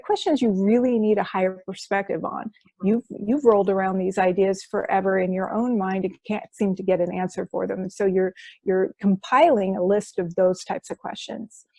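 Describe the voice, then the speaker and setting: A woman's voice: medium pitch, warm tone, no echo. A woman who works as a hypnosis practitioner, explaining her sessions as a guest in an interview, enthusiastic and often restarting her phrases.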